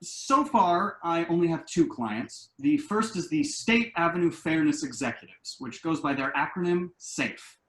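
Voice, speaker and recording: A man's voice: fairly high pitch, lively intonation, a little slow, hardly any pauses, fairly bright tone. A man acting a self-assured, glib son who earnestly explains his schemes in a table-read play, recorded in an echoing room. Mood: earnest, confident. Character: glib, enterprising grown son pitching shady business schemes. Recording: roomy, echoing home recording.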